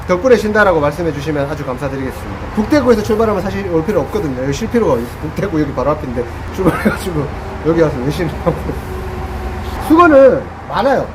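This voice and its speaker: Lively man chatting outdoors, high voice. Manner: playful, animated, joking to viewers. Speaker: vlogger. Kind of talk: casual outdoor vlog chat. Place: outdoors under a roof by a roadside rest area. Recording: outdoor noise behind.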